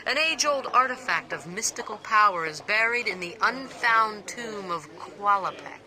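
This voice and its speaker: An actress playing a commanding, wealthy businesswoman in a video game, speaking in a deliberate, dramatic sales pitch. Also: expressive, sharp tone, low-quality recording. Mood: businesslike, coaxing. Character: commanding, wealthy businesswoman offering a dangerous job.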